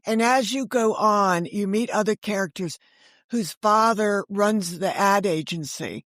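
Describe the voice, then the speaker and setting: Interview guest: woman, 60s, American, emphatic conversational storyteller, mid-pitched voice, close dry sound. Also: a little slow, a bit bright, no echo. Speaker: guest, author and audio drama creator.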